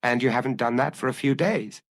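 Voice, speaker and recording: A man voicing a calm, patient police inspector who questions in a measured way, in an audiobook. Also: mid-range voice, dry recording, studio quality, pitched above the performer's own voice, lightly acted. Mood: probing, calm. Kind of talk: audiobook character dialogue.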